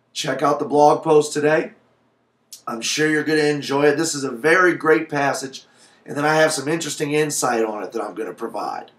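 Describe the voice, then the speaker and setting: A man in his thirties speaking as a video blogger into a webcam microphone in a small home office. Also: fairly high pitch. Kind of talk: casual vlog talk with passage read aloud. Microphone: webcam microphone at desk distance. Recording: roomy, echoey webcam sound.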